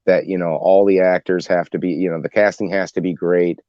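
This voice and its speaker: A man in a casual group discussion, rambling as he thinks out loud. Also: low-pitched, little pitch movement, a little fast, no room sound, highs cut off. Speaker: podcast panelist.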